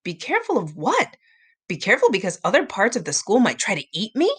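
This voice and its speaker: A woman's voice: mid-range voice, wildly swooping pitch, fast, a bit bright, husky, exaggerated voice. A woman voicing a reluctant, easily alarmed schoolboy in a storybook, with a whiny, exclamatory delivery. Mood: bewildered.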